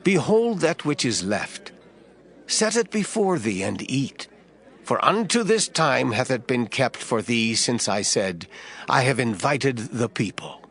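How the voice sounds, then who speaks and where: An actor playing an authoritative, assured prophet in dramatized scripture, solemn, declamatory and deliberate. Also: male voice, mid-range voice, big pitch swings, fairly bright tone, breathy edge, full character voice. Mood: assured, instructing. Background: background music.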